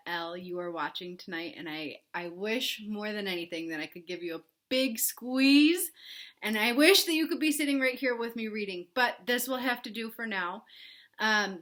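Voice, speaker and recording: A woman's voice: medium pitch, a bit bright. A woman teacher chatting informally to children as she reads a story aloud.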